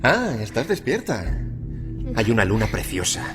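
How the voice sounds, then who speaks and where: An actor playing a deranged, playfully menacing killer in a horror radio drama, taunting and theatrical. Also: male voice, lowish voice, wildly swooping pitch, moderate pace. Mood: creepy tenderness. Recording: loud music bed behind voice.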